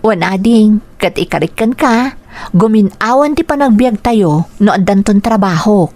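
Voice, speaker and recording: A woman's voice: medium pitch, lively intonation, measured pace, a little mellow, natural voice. A woman acting in a radio drama as an earnest, dutiful eldest daughter, speaking with an Ilocano accent. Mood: hopeful, earnest.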